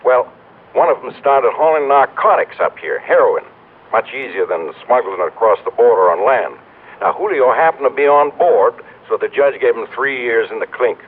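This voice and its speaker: A man's voice: mid-range voice, expressive, normal speed, close to an everyday voice. An actor playing an earnest insurance office man, explaining in a folksy, hesitant way in radio drama. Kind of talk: old-time radio drama dialogue. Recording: muffled vintage radio, telephone call.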